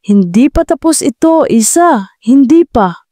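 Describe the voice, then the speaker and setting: Woman acting out a raving, vengeful villainess, higher-pitched. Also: lively intonation, a little slow, warm tone, no room sound, studio quality. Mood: crazed anger. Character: raving, vengeful villainess screaming threats in fury.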